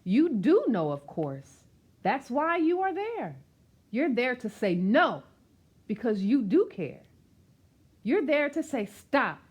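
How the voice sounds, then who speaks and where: A woman reading a rhyming picture book aloud as a storyteller, expressive and emphatic, with questions and asides to her listeners. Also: a little high, slightly rough, muffled recording.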